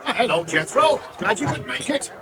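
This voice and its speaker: Genial, lively pub drinker. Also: male voice, extremely high pitch, moderate pace. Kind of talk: 1980s radio soap acting. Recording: pub crowd chatter behind.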